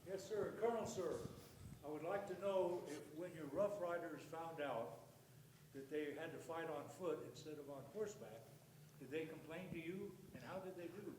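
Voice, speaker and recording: A man's voice: fairly high pitch, normal speed, a little mellow. A man in an audience asking a question in a respectful, formal way. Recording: echoey room, distant, faint noise.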